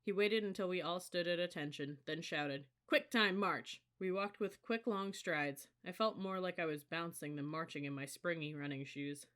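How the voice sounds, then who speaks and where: Bright-voiced audiobook story reader. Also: medium pitch, moderate pace, hardly any pauses. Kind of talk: scripted first-person story narration.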